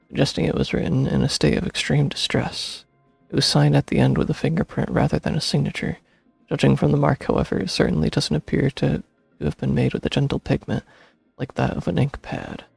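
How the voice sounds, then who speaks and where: Very gravelly, creaky male game streamer. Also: a little high, fairly even intonation, a little fast, few pauses, darkish tone, no echo. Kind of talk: casual gameplay commentary and reading game text aloud. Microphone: close streaming microphone, dry. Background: game music.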